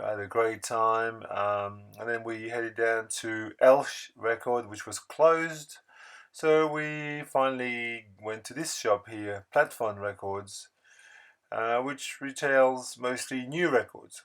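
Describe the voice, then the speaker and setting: A man narrating a casual vlog voice-over in a halting, unscripted, rambling way. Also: medium pitch, a bit bright. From France. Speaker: record-collecting video blogger.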